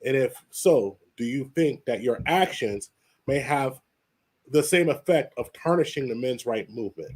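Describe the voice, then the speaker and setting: A man's voice: mid-range voice, lively intonation, normal speed, a little mellow, husky. An older man interviewing a guest on a casual podcast, rambling and stammering through his questions with a joking manner.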